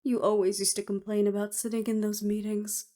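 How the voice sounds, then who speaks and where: A woman's voice: medium pitch, level tone, brisk, mellow, close to an everyday voice. A woman acting in an audio roleplay as a sardonic, teasing estranged friend, with a theatrical drawl. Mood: mocking, amused.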